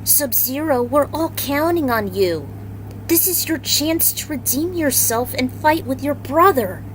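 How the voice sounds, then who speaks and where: A woman's voice: sits high, animated, measured pace, noisy background, pitched above the performer's own voice. A woman voicing a compassionate, devoted female warrior in a fantasy story, earnest and emotional.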